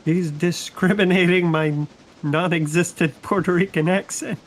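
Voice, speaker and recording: A man's voice: sits high, fairly even intonation, moderate pace, slight background noise. A man bantering on a game stream, teasing and joking with friends. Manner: teasing, jokey. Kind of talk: casual banter while gaming.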